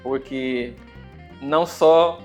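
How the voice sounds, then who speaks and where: A man from Angola giving a conference talk, with an Angolan accent touched by Brazilian Portuguese, speaking hesitantly with many fillers and pauses. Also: mid-range voice, a little mellow, faint music behind. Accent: Angolan Portuguese with some Brazilian. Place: conference venue.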